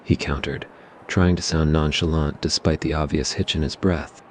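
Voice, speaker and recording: A man narrating a scripted ASMR roleplay story in a measured, even way. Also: a bass voice, slight background noise.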